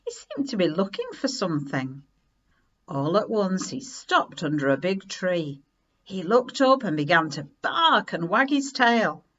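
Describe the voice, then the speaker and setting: A woman reading a children's story aloud in an expressive, unhurried way with dramatic emphasis. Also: fairly low pitch, dull audio, slight background noise.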